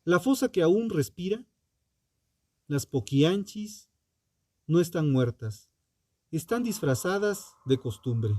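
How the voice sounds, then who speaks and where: Slow, dark-toned male true crime narrator. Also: on the high side, big pitch swings, no echo, clean studio sound. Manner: solemn, deliberate, ominous rhetorical delivery. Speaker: true crime podcast host. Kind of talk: dramatic scripted narration.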